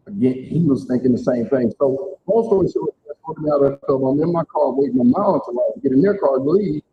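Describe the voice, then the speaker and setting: A man's voice: sing-song, a little fast, vocal fry. A middle-aged man, telling his personal story emphatically to a live audience at a church event. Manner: storytelling, emphatic, plain-spoken. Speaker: speaker at community event. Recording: tinny phone-like sound, faint rumble.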